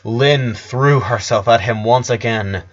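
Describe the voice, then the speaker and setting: A man's voice: medium pitch, takes it slowly. A man reading a story aloud as scripted narration, in the role of narrator. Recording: dull lo-fi recording.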